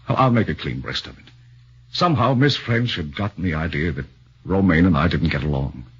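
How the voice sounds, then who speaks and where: A man's voice: low voice, expressive, a little fast, slightly rough, heavily acted. A man voicing an anxious young accused husband who speaks nervously and earnestly, stammering, in a 1940s radio drama on a muffled old broadcast.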